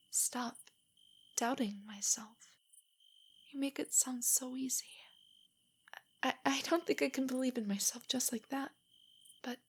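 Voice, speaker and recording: A woman playing a nervous, nerdy young girlfriend in an intimate roleplay audio drama, soft and whispery, with hesitant, stammering speech. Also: high-pitched, full character voice. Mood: self-doubting. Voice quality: soft, breathy, often whispery. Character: shy, nervous, nerdy young girlfriend, self-doubting and affectionate.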